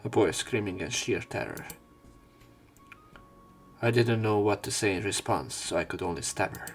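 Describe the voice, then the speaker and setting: A man reading visual novel narration and dialogue aloud as a let's player, casual and a little halting. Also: on the low side, soft background music.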